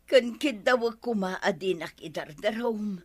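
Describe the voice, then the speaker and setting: A woman's voice: medium pitch, animated, a little slow, breathy edge. A woman playing a guilty village treasurer in an Ilocano radio comedy, pleading and making excuses in an Ilocano accent. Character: guilty woman treasurer who gambled funds.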